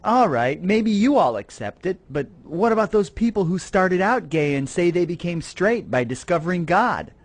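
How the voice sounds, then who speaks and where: A man's voice: high-pitched, sing-song, moderate pace, few pauses. A man playing a naive, straight-laced skeptic in an educational cartoon, doubtful and argumentative. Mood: skeptical, argumentative. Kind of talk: scripted cartoon dialogue.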